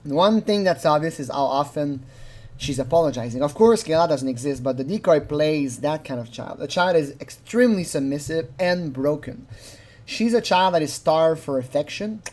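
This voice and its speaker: A man's voice: a little high, expressive, normal speed, darkish tone. A man giving informal, unscripted commentary on a recording, rambling and acting out quotes as he explains. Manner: rambling, animatedly acts out quotes. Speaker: commentator analysing a recorded phone call.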